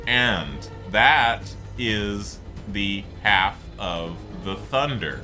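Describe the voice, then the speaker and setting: A man's voice: lowish voice, expressive, drawn-out words, music in the background. A man giving casual, rambling, explanatory commentary on a video game. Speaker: gaming video host.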